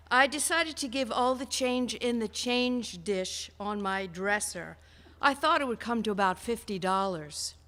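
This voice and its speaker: A woman with a mid-pitched voice gives a report. She goes slowly and deliberately, keeping a deadpan manner. Long reverb from a hall surrounds her. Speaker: treasurer giving donation report. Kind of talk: measured reporting, reading out notes.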